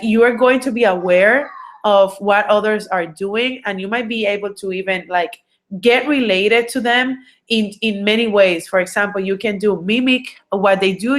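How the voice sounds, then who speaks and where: A woman's voice: medium pitch, a bit bright. A woman from Puerto Rico with a Puerto Rican Spanish accent, Spanish her first language, giving an online recruiting training in an earnest way, with many fillers and restarts. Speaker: recruiting coach.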